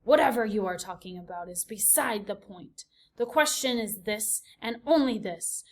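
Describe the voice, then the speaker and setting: A woman's voice: medium pitch, expressive, normal speed, natural voice. A woman voicing an elderly male mouse leader who speaks formally and commandingly. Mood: stern.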